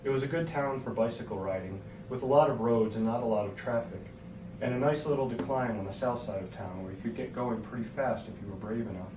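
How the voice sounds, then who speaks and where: A man's voice: mid-range voice, quick pace. A man reading a scripted story aloud as its narrator. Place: hotel room. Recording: echoey hotel room, distant mic, noisy.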